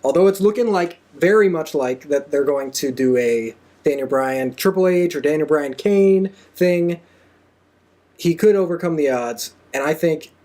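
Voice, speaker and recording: A man's voice: on the high side, warm tone. A man hosting a casual panel chat, giving wrestling predictions. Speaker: host of a wrestling predictions show.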